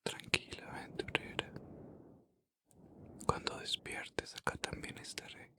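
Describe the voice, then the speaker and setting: A man playing a gentle vampire in an ASMR roleplay, murmuring and whispering soft, breathy reassurance with long pauses to lull someone to sleep. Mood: tender, soothing. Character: gentle male vampire soothing an adventurer to sleep.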